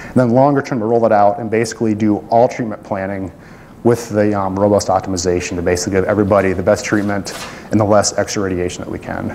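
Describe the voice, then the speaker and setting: A man with a fairly low voice gives a loose, self-deprecating technical presentation in a conference room. He restarts often and hedges, with a faint hum of room noise behind him. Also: little pitch movement, fast, a little mellow. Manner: casual, self-deprecating, many fillers and restarts.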